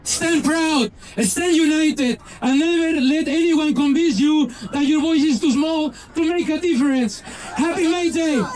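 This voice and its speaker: A man's voice: extremely high pitch, a little slow, few pauses, a little mellow, rough recording. A man giving an impassioned rally speech outdoors, building rhetorical lists and slogans. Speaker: rally speaker. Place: outdoor rally in a city square.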